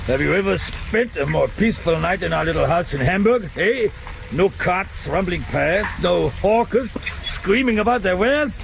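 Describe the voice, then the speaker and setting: An actor playing a bombastic, impatient older professor in a radio drama, with a commanding, exclamatory delivery. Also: male voice, sits high, expressive, normal speed, gravelly. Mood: gruff, commanding. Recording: noisy 1990s radio broadcast with effects.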